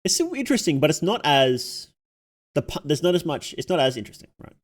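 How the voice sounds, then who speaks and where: Animated man giving casual livestream reaction commentary. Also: fairly high pitch, quick pace. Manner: rambling, informal slang. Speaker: livestream host reacting to horror videos.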